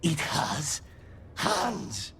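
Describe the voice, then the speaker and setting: An actor playing a curious possessing demon in a video game, speaking in halting, stretched-out fragments. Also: male voice, on the high side, big pitch swings, vocal fry, pushed and bright, slight background noise, full character voice. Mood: eerie curiosity. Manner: halting, broken fragments with long stretched words.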